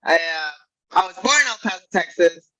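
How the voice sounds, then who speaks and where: A woman's voice: lowish voice, expressive, normal speed, a bit bright, low-quality recording. A woman raised in Mexico, a strength coach, talking easily about her career and joking. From Mexico, Ciudad Juarez. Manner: easygoing, joking.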